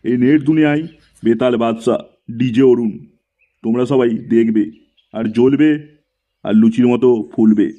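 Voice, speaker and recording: A man's voice: medium pitch, fairly even intonation, normal speed, long pauses, warm tone, dull audio, natural voice. A man voicing a boastful, crude, self-styled celebrity in a comedy cartoon. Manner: pompous, swaggering.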